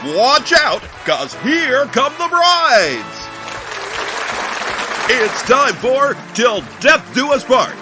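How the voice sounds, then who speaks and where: An actor playing an over-the-top TV game show announcer with a booming, exaggerated delivery. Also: male voice, big pitch swings, extremely slow, heavily acted. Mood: excited, booming. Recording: loud music and crowd behind.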